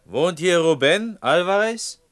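An actor playing an earnest, pleading potter in a German radio play, with a husky voice. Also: male voice, high voice, animated, measured pace, a bit bright. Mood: urgent. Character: earnest, pleading potter obsessed with a blue pigment.

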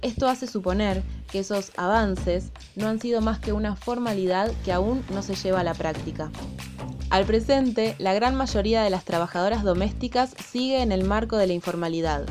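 Argentine woman narrating over clear background music. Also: mid-range voice. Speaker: documentary programme narrator. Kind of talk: scripted narration.

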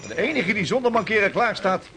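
An actor playing a practical, orderly senior sailor among a stranded crew in a radio drama. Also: male voice, sits high, big pitch swings, fast talker, a bit bright. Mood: matter-of-fact.

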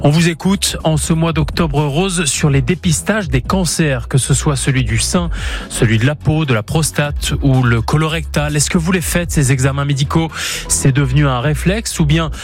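Male presenter, scripted promo read, slightly higher voice, faint music underneath. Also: normal speed. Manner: clear, announcing. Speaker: radio presenter.

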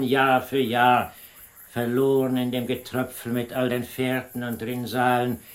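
An actor playing a musing, eerie village yarn-spinner in a radio drama, slow and suggestive. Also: male voice, mid-range voice, natural voice.

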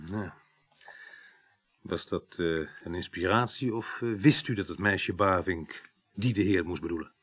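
An actor playing a police investigator questioning a witness in a Dutch radio play, inquisitive and slightly halting. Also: male voice, low-pitched, a bit bright, slight background noise. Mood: businesslike.

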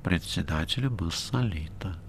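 A man's voice: sits low, lively intonation, moderate pace, a little hiss or hum. A man voicing a short, brash and cheeky red-haired man with a fang, sly and offhand in an audiobook. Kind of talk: audiobook character reading.

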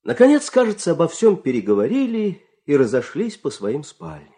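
Male, folk-tale teller and country husband for audio drama: expressive, theatrical, very lively intonation. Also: fairly high pitch, moderate pace. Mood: animated storytelling. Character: storyteller and coaxing, secretive farmer husband of a folk tale. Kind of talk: expressive audio play acting and narration.

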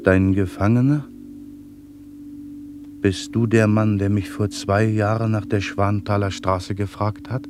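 A man's voice: lowish voice, fairly even intonation, unhurried, pauses often, close to an everyday voice. A man acting a self-important traffic policeman in a radio play, with an emphatic, declamatory manner. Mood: subdued, dazed. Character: self-important male traffic policeman, commanding, growing bewildered. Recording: clear street traffic noise.

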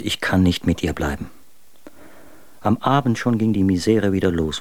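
An actor playing a bitter, tormented young husband and poet, reading confessional letters in a German radio drama. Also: male voice, low voice, no room sound.

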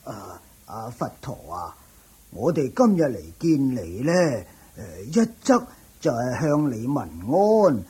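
A man playing a respectful, troubled elderly devotee seeking advice in a Cantonese radio drama, halting and sighing, with a Hong Kong accent. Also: medium pitch, sing-song, mellow, breathy edge, heavily acted. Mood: respectful, worried.

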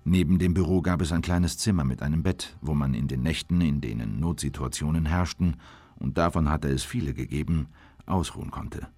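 An actor playing a former official soberly recounting an interrogation in a radio drama, husky, measured and grave. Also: male voice, rumbling low, dark tone, no echo. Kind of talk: scripted first-person radio drama narration.

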